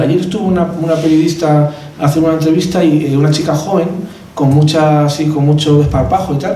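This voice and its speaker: The Castilian man you would hear from the back row of a book presentation, a mellow-voiced author wandering haltingly through anecdotes, a little far from the microphone. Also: on the high side. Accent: Castilian Spanish. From Madrid, Spain. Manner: halting, rambling, hesitant with repeated words. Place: event hall at a cultural centre. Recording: roomy echo, distant mic, background noise.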